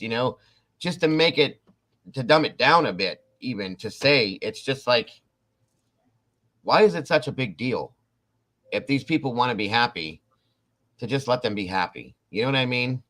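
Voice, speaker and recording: A man's voice: mid-range voice, a bit bright. A man hosting a casual livestream, rambling and restarting his sentences as he talks to his viewers. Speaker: livestream host. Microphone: computer mic on a livestream.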